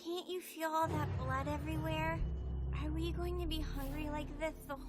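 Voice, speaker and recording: A woman's voice: high voice, fairly even intonation, normal speed, natural voice. A woman voicing an anxious, sensitive little vampire girl in a video game, in a childlike, earnest manner. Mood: anxious, pleading. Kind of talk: video game cutscene voice acting. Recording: music under the voice.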